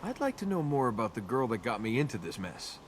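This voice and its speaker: An actor playing a cocky, impatient young adventurer in a video game, with a sarcastic, flippant way of talking. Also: male voice, medium pitch, big pitch swings, fast talker, slight background noise, close to an everyday voice. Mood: wry. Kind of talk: video game character dialogue. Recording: music behind the voice.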